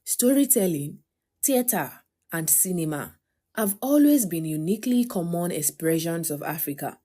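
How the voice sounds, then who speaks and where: A woman narrating a scripted documentary in a measured, articulate way. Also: lowish voice, mellow.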